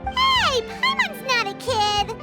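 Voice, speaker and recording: An extremely high, squeaky little fairy girl from a video game, swooping through every phrase with cutesy excitement. Children's-style background music plays prominently behind the voice. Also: takes it slowly, heavily acted. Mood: indignant. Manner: excitable, cutesy, speaks of self in third person. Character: tiny childlike excitable floating fairy companion, female. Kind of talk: video game character acting.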